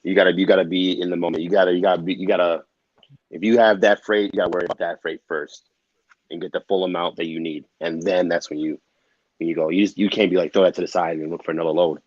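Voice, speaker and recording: Male interviewee, low register, dry and dull recording; casual advice-giver who restates points for emphasis. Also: steady tone, fast talker, darkish tone, no echo. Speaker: interview guest in the trucking business. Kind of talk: casual chat, giving advice.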